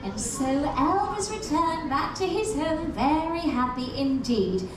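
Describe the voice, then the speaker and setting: A woman narrating a children's puppet show in expressive, exaggerated, theatrical storytelling style. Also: high-pitched, slow, noisy background. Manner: expressive, exaggerated, leads audience responses. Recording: echoey theatre from far off, loud music.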